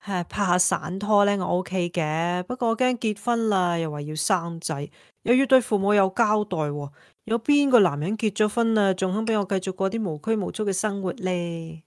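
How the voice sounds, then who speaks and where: A woman's voice: mid-range voice, moderate pace, no room sound, close to an everyday voice. An actress plays a sassy, marriage-shy woman in her thirties in a radio play. She has a mid-range voice and playful, sarcastic retorts, recorded dry. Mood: sarcastic, carefree. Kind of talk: Cantonese audio drama acting.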